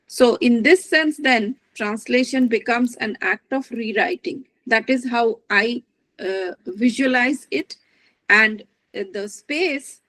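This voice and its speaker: A woman giving an academic lecture as a literary translator, speaking in a measured, thoughtful way and searching for her words. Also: a little high, a bit bright, no room sound, low-quality recording. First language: not Dutch.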